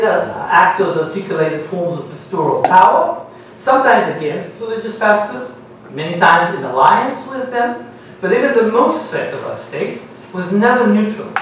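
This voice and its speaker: A middle-aged man with an Israeli accent, giving a measured academic talk as a panelist at a university seminar table. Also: sits high, fairly bright tone, slight background noise. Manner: measured, lecturing, reads quotations aloud. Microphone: gooseneck table mic. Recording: very echoey room, mic at a distance.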